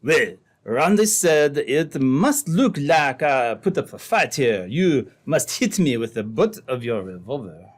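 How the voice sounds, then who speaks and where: Radio drama trapper, male actor: French accent, earnest and insistent, very lively intonation, stilted dramatic delivery. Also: mid-range voice, a little slow, few pauses. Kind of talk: old-time radio drama acting.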